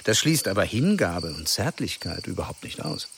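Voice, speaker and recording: Lively, gravelly charming father. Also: low-pitched, moderate pace, exaggerated voice. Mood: musing, playful. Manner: theatrical, expressive. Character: charming, flighty middle-aged father and ladies' man. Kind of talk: radio play acting. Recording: noisy outdoor ambience behind voice.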